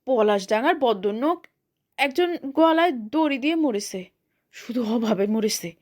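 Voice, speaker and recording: Gossipy old headman, slow and rambling, put on by a woman reader. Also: fairly high pitch, expressive, darkish tone. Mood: grumbling. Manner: rambling, halting speech.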